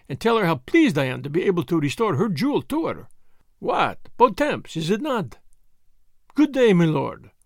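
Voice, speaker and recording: Guy doing a cheerful fussy little detective for an audiobook, theatrical and polite, pitch jumps all over, dry sound. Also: no echo. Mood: courteous, pleased. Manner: theatrical, formally polite, precise. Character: dapper little Belgian detective, cheerful, courteous, self-assured man.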